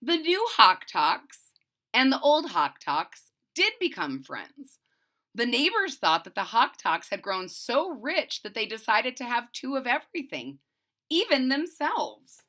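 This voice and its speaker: A woman reads a children's story aloud with very animated intonation. Her voice is bright and fairly high, and she tells it expressively in simple words. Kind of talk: animated read-aloud of a picture book for children.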